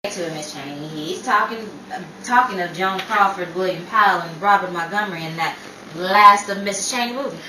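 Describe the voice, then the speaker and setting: A woman's voice: on the low side, a little fast, bright, forceful tone. A woman acting a dry, scolding wife who explains away her husband in a 1940s radio play. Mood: dry, chiding. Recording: echoey room, distant mic, faint noise.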